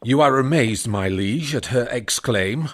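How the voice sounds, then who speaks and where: A man's voice: medium pitch, expressive, a little slow. A man playing a noble, resolute Trojan warrior prince in a Shakespearean audio drama, stately and commanding.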